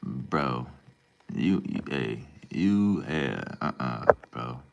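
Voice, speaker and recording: Young man from Minnesota, extremely low voice; relaxed live-stream banter with chat. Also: drawn-out words, gravelly, highs cut off, heavy background noise. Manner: laid-back, relaxed slang, calls viewers bro and man. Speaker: livestreamer. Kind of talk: casual live stream chat, reading and answering viewer comments.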